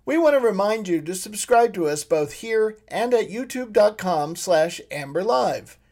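A man's voice: high voice, lively intonation, moderate pace, darkish tone. A man who co-hosts and produces, reading a scripted introduction to an interview show. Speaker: producer and co-host.

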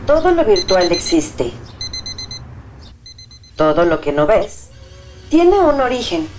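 A woman voicing a scripted radio station promo with a rapid, punchy delivery. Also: medium pitch, big pitch swings. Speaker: station announcer. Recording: clear music bed.

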